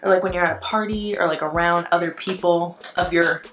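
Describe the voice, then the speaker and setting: A woman's voice: on the low side, fairly even intonation, brisk. Chatty woman vlogger in an echoey room. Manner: chatty, profane, says "like" constantly. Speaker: beauty vlogger. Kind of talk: casual chat while doing makeup. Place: at home indoors. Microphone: camera mic. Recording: echoey room, faint background music.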